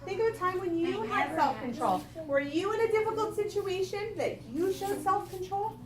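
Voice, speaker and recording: A woman's voice: extremely high pitch, moderate pace, a crowd in the background. A woman teaching young children in a classroom, directing them and prompting them with questions. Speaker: primary school teacher. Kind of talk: interactive classroom read-aloud discussion. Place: indoor school classroom. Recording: echoey distant classroom recording, clearly noisy.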